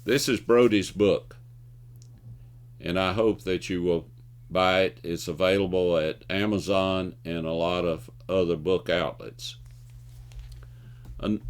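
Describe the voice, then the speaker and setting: An older man giving scripted, opinionated commentary in a folksy way, now and then stumbling over words as he reads. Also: deep voice. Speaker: host of a video commentary show. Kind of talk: scripted commentary with jokes and anecdotes.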